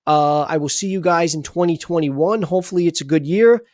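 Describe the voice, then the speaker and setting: A man's voice: on the high side, a little fast, darkish tone, no echo, studio quality. A man hosting a casual sports livestream, talking in a rambling, chatty way with many restarts.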